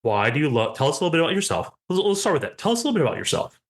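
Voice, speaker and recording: A man's voice: on the high side, sing-song, very fast. A man hosting a podcast, who rambles through his introduction, keeps tagging his points with "right?" and jokes with his guest. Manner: rambling, repeats "right?", joking. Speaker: podcast host. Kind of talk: conversational podcast interview.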